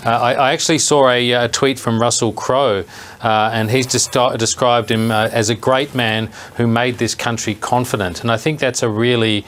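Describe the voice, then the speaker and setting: An Australian man giving live political analysis, measured and reflective, with some hesitation. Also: mid-range voice, fairly even intonation, fairly bright tone. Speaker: political commentator.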